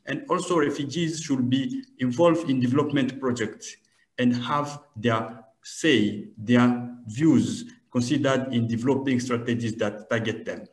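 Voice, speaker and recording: A man with a medium-pitched voice gives panel remarks. He is on a video call, and his room rings with noticeable echo. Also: a little slow.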